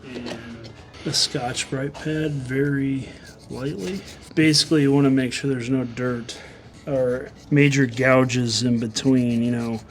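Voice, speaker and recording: A man helping in a garage, calmly giving practical advice about cleaning a cylinder head. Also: mid-range voice, slow pace, faint music behind.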